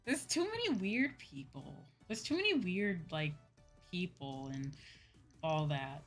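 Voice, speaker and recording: A woman's voice: fairly low pitch, very animated, measured pace. A young woman streamer chatting casually on a livestream, rambling and repeating her phrases for emphasis. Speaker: VTuber streamer. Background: background music.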